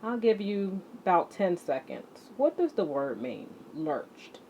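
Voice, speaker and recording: A woman teaching children as an exercise leader, speaking deliberately and emphatically, addressing her students directly. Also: fairly low pitch, big pitch swings, darkish tone. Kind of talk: instructional lesson to children, read-along with warm-up exercises. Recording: muffled audio with clear background noise.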